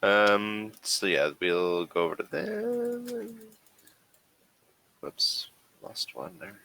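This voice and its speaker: A man running a tabletop game as game master, narrating casually and haltingly as he thinks aloud. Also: lowish voice, wildly swooping pitch, creaky, pushed and bright.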